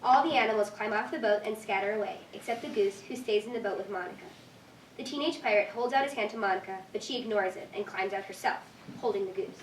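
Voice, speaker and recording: A woman's voice: medium pitch, quick pace, distant mic. A young woman reading stage directions as scripted narration at a staged reading. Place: live staged reading in a room. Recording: echoey room from afar, faint noise.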